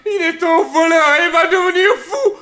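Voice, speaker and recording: Radio drama role: tormented religious thief, very high, sharp voice, pleading and lamenting. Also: male voice, fairly even intonation, moderate pace. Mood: frantic despair. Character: desperate, guilt-stricken religious man accused of murder. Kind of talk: 1960s French radio drama acting.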